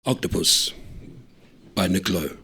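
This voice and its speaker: A man giving an expressive, animated reading of a short story, voicing each character. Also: deep voice, slow, slightly rough. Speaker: story reader.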